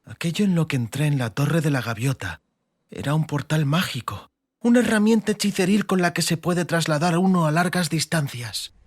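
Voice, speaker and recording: A man voicing an exhausted, determined young girl's inner thoughts in a dramatized audiobook, in a hushed dramatic delivery. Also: on the high side, expressive, brisk, no room sound. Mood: grim determination.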